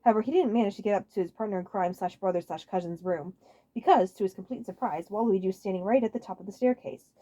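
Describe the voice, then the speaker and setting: A woman's voice: medium pitch, quick pace, warm tone. A woman reading fan fiction aloud as a scripted story narrator on a home microphone.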